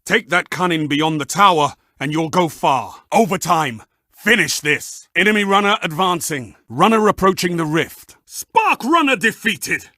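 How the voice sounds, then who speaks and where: A man's voice: high voice, expressive, a little slow, pushed and bright, no echo, studio quality, full character voice. A man playing a fervent match announcer in a video game, delivering short, dramatic, exclamatory calls to urge fighters on. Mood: urgent. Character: theatrical, fervent male arena match announcer urging on fighters.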